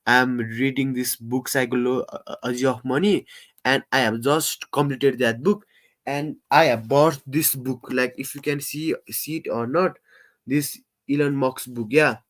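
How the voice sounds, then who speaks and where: A man hosting a casual live stream, talking in halting English full of fillers and restarts, and he says he stammers. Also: medium pitch, fairly bright tone. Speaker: livestream host.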